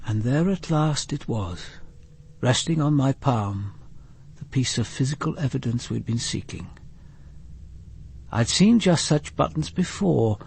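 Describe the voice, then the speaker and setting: A reflective, weary detective narrating his own story. His voice is very husky and creaky, with expressive movement in pitch through the scripted narration. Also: heavily acted. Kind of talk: scripted first-person narration.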